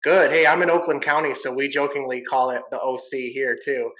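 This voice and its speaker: A man's voice: medium pitch, level tone, brisk, fairly bright tone. A man from Michigan hosting a casual video-call interview, easygoing and jokey, often saying "man" and "you know". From Michigan, USA. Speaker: podcast host, realtor.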